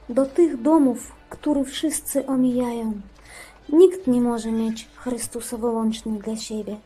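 A solemn, self-giving figurine of Christ acted by a woman in a cartoon. The voice is high and mellow with a husky edge, teaching with gentle firmness. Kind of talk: amateur religious drama in a cartoon.

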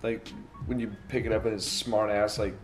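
A man in his thirties giving relaxed, halting first impressions of a meal in a home kitchen, recorded on a phone. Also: lowish voice, faint music behind. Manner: relaxed, hesitant, speaks with restarts. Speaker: taste tester. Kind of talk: casual chat. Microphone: phone camera across the counter.

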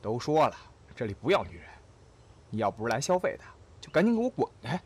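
A gruff doorman thug acted by a man in a comedy radio play. His voice is husky and animated, with a brusque, barking, impatient manner. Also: medium pitch, quick pace. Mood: brusque, threatening. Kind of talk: Chinese comedy audio drama acting.

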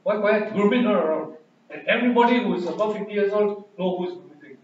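A man's voice: sits high, fast, lo-fi sound. An older man with a Malaysian accent giving a training talk into a handheld microphone in a classroom, telling anecdotes and acting out quoted voices. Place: classroom with projector. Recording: echoey room from far off.

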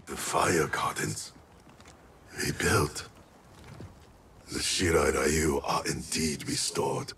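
An actor playing a cold, terse male undead ninja in a game cutscene, slow and solemn. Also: a bass voice, fairly even intonation, gravelly, a bit bright, slight background noise, heavily acted. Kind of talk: video game cutscene acting.

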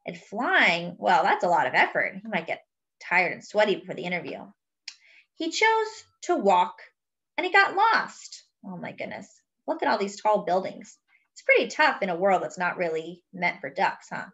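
Female children's story host, very lively pitch movement, expressive read-aloud with simple explanatory asides. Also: normal speed, dull audio. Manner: expressive, explains words and pictures as if to young children.

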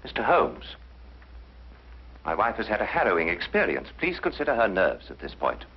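An actor playing a grave, protective English lord with a formal, hesitant, dignified British manner, in 1950s mystery drama. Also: male voice, on the low side, huge pitch swings, moderate pace, breathy edge, exaggerated voice. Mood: concerned, protective. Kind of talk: 1950s television mystery acting. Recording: dull 1950s soundtrack with faint music.

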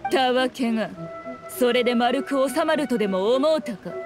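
Haughty, highish-voiced shrine priestess. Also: female voice, animated, normal speed, music in the background. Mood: stern, scornful. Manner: imperious, composed, old-fashioned phrasing. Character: haughty, teasing shrine priestess with archaic speech. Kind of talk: Japanese video game voice acting.

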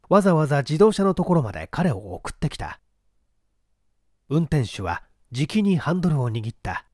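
A man's voice: medium pitch, darkish tone, no room sound, studio quality. A man reading a novel aloud as a dramatic scripted narration. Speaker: audiobook narrator.

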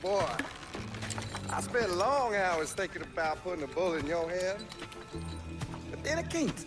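Game villain: cruel Southern lawman, taunting drawl, animated high-set voice, heavy score behind. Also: a little slow. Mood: gloating menace. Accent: American South. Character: cruel, smug racist Southern sheriff. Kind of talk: video game cutscene acting. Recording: loud music under the voice.

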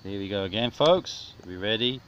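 A man's voice: lowish voice, animated, brisk. A middle-aged man giving casual, playful commentary on a home video in a jokey, mock-dramatic way. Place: small back garden, outdoors. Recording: muffled, noisy outdoor camera audio with clicks.

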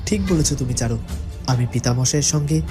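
A woman voicing an earnest young prince in a cartoon, pushing her voice low. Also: fairly quick, warm tone, soft background music. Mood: concerned.

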